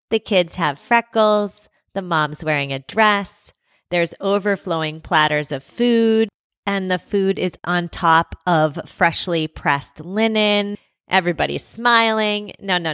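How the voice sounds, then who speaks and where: A woman in her thirties hosting a podcast, hoarse and scratchy from a cold, speaking in a candid, conversational way with light self-mockery. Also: lowish voice, a bit bright, no echo, clean studio sound. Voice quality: scratchy, gruff. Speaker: podcast host. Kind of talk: scripted podcast monologue.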